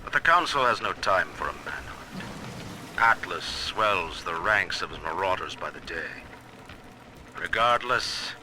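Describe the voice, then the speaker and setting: A man's voice: low voice, noisy background. A man playing a stern, proud middle-aged tycoon who rules his own city in a video game, speaking his audio diaries in pompous, menacing, formal oratory. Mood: cold, brooding. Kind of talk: dramatic recorded diary monologue, formal oratory.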